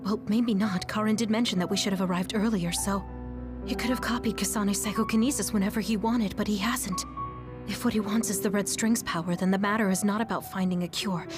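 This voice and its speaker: An actress playing a gentle, thoughtful female major in an anime video game dub. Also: mid-range voice, steady tone, fairly quick, over music, lightly acted. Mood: pondering.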